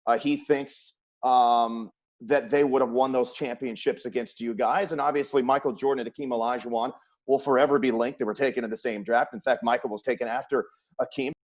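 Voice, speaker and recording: A man's voice: mid-range voice, steady tone, fairly quick, darkish tone. A man hosting a conversational sports interview, an announcer putting questions to his guest. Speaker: host, sports play-by-play announcer.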